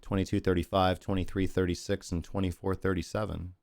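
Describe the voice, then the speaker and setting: A man's voice: low voice, flat delivery, brisk, creaky, darkish tone, no echo. A man hosting a crypto livestream, chatty and rambling as he talks through charts and thanks viewers, losing his voice. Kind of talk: casual market analysis and sign-off.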